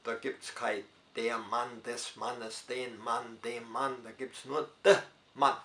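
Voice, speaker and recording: Older male pastor, Hunsrückisch German accent, gravelly voice, reverberant room. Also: medium pitch, little pitch movement, pushed and bright, slight background noise. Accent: Hunsrückisch German of southern Brazil. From southern Brazil. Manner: unhurried storytelling with dialect forms and hesitations. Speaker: retired pastor interviewee. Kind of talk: personal life story in interview.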